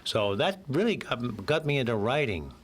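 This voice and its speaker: An older man with a hoarse voice from a cold, a guest in a radio interview who rambles a little and jokes and laughs at his own quips. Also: mid-range voice, very animated, moderate pace, a little hiss or hum.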